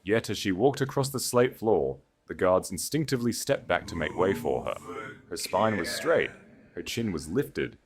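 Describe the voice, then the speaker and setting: A man's voice: deep voice, very animated, normal speed. A man reading a scripted romance audiobook in a solemn, dramatic way. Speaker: narrator.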